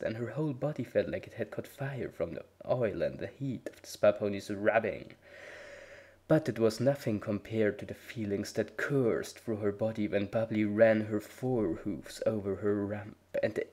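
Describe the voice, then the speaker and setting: A man narrating a dramatic reading of fan fiction, measured, voicing each character's dialogue. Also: on the low side, a little mellow, husky. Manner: measured storytelling with voiced dialogue.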